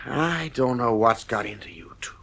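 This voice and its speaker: A man's voice: medium pitch, moderate pace, vocal fry, a bit bright. An actor playing an exasperated, stern father in an audio drama, with a clipped, blustering manner. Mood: weary, suspicious. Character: exasperated stern father in a dystopian future household.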